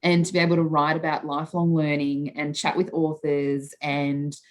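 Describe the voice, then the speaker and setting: A woman's voice: low-pitched, level tone, moderate pace. A woman hosting a library author interview, asking long, friendly questions. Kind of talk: conversational interview.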